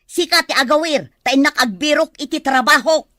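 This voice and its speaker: A woman's voice: high-pitched, normal speed, pushed and bright, no echo, clean studio sound. A woman with an Ilocano accent playing a nagging, defensive, hard-pressed mother in a radio drama, combative and scolding.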